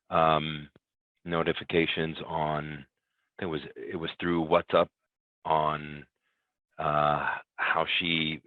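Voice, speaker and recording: Very deep male voice, phone-like sound. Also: no echo. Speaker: class participant. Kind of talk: casual spoken contribution to a class. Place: online call. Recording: narrow phone-like call audio.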